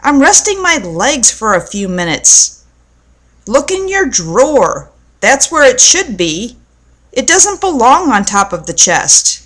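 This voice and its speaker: A woman voicing a tired, calm mother in a children's storybook, firm and reasonable as she keeps the peace. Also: high-pitched, slow pace, pitched above the performer's own voice, heavily acted. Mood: weary, calming. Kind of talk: storybook character voice.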